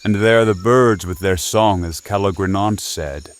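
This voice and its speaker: An actor playing a valiant, courteous Arthurian knight in an audio drama, speaking in solemn, archaic, resolute phrases. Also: male voice, low-pitched, moderate pace, a little hiss or hum, close to an everyday voice. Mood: contemplative. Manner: archaic, solemn, declamatory speech. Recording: orchestral music behind the voice. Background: orchestral score, nature sounds.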